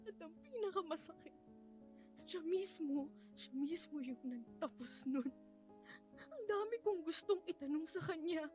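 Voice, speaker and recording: High-pitched, tearful grieving Filipino widow. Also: female voice, animated, a little slow, darkish tone. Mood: anguished, despairing. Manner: tearful, pleading delivery with repeated broken phrases. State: crying. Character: grief-stricken young widow, anguished, guilt-ridden, despairing. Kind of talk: radio drama acting, heavily emotional. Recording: studio voice over prominent dramatic music.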